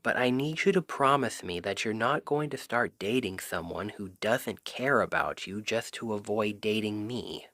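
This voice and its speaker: A man acting a shy, earnest best friend in an intimate ASMR roleplay, speaking softly and haltingly. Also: lowish voice, dry recording, studio quality. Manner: hesitant, halting, soft-spoken. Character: shy, earnest male Cupid best friend confessing love.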